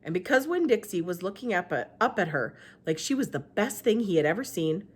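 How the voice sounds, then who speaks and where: A woman, a teacher, reading a children's novel aloud with expressive narration. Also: brisk, slightly rough. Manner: expressive, lively pitch. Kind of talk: storybook read-aloud narration.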